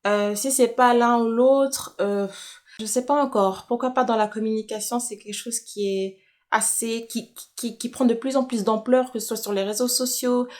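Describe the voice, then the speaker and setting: A woman's voice: a little high, steady tone, normal speed, darkish tone. A young woman from Kinshasa chatting casually in a personal question-and-answer vlog, correcting herself as she thinks aloud. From Congolese, from Kinshasa, living in Switzerland. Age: young adult. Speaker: YouTuber.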